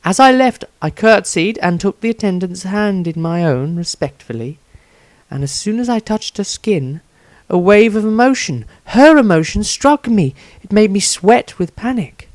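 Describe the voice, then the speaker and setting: A man voicing an earnest young priestess, gentle but anxious, with careful formal phrasing in a fantasy audiobook. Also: high voice, lively intonation, moderate pace, no echo, pitched above the performer's own voice. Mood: troubled, urgent.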